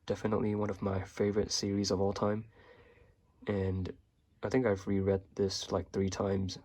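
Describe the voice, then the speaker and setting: Young male vlogger from Hong Kong, native Chinese speaker; deep, monotone, slightly husky; relaxed and hesitant. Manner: relaxed and rambling, many fillers and hesitations. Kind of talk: casual bookshelf tour.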